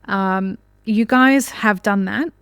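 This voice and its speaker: A woman's voice: mid-range voice, slow, clear, resonant tone, no room sound. Podcast host woman with a dry close sound. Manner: warm encouraging, short backchannels. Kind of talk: interview hosting.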